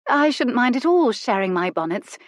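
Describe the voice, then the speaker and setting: A woman's voice: fairly high pitch, very animated, moderate pace, no room sound, pitched above the performer's own voice. A woman voicing a bold, coy young Regency lady who speaks in a coaxing, lifted tone.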